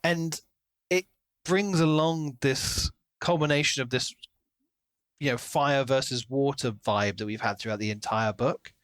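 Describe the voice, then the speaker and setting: A man co-hosting a podcast, talking through a book in a casual, animated retelling style. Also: medium pitch, a little slow, clean tone, no echo. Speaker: podcast co-host.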